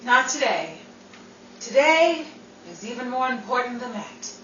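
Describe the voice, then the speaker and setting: A woman acts an eager, starry-eyed aspiring actress in 1940s radio-comedy style. Her fairly high voice leaps around theatrically. The recording is distant and noisy. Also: very animated, measured pace, sharp tone, heavily acted. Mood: eager, dreamy. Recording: noisy, dull, echoey room from afar.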